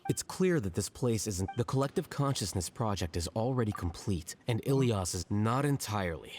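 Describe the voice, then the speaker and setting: A man voicing a calm, analytical young scholar in an anime game, speaking in a measured, matter-of-fact way. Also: on the low side, a little hiss or hum, lightly acted. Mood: cool, explanatory.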